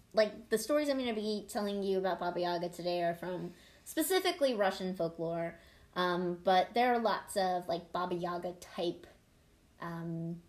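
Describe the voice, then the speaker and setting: A woman's voice: lowish voice, a little mellow. Casual, irreverent woman podcaster. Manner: informal, irreverent, sweary. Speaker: podcast host. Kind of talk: casual conversational storytelling and explaining.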